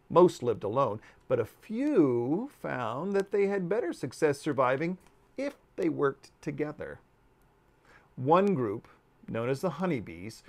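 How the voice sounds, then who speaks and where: Male storybook narrator, fifties: slow, deliberate pacing, widely varied intonation, dramatic pauses. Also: on the high side, vocal fry. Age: 50s. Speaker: author reading aloud. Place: book-lined room at home.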